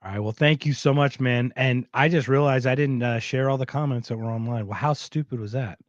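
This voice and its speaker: A man's voice: mid-range voice, brisk, no room sound, muffled recording. A man hosting a podcast in casual chat, rambling with many restarts and self-interruptions. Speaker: podcast host.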